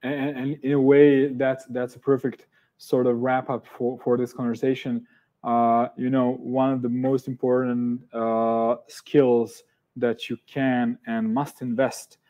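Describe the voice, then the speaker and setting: A man with a Serbian accent hosting an online interview, speaking haltingly with many fillers and restarts. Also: mid-range voice, flat delivery, mellow, dull audio. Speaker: event host.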